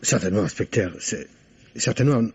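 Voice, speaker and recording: An actor playing a timid, stammering, henpecked middle-aged husband in a French radio mystery play. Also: male voice, fairly low pitch, lively intonation, brisk, a little mellow, slightly rough. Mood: nervous. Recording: muffled old French radio recording.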